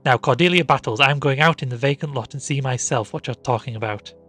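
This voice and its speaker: A man voicing a peremptory, indignant middle-aged housewife in a storybook reading, sharp, commanding and scolding. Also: medium pitch, quick pace, husky, faint music behind. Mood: impatient.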